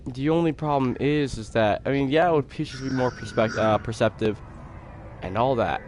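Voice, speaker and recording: Calm, halting teenage boy streaming. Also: mid-range voice, a little hiss or hum. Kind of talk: casual chat while gaming on a live stream.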